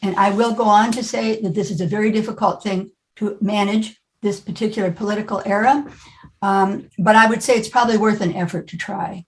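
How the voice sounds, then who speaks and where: A woman's voice: mid-range voice, steady tone, fairly quick, poor audio. Woman giving an informal service welcome. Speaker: service leader welcoming an online congregation.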